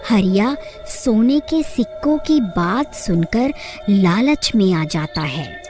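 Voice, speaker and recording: Slow female cartoon story narrator. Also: medium pitch, lively intonation, a little mellow, soft background music.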